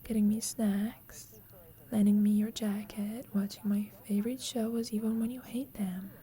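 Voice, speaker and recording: A soft, breathy whisper from a shy young female student played by a woman, lovestruck and insecure. She speaks slowly and hesitantly, with a mellow, tender tone, as in an intimate ASMR roleplay confession to a roommate. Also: medium pitch, level tone, natural voice. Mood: shy, uncertain. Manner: hesitant, slow, confiding.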